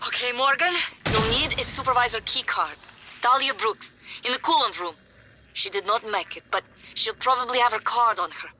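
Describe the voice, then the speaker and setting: A performer playing a frank, harried ally who gives instructions over the radio in a video game, in a brisk, conversational way. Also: medium pitch, sharp tone, slight background noise. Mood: businesslike.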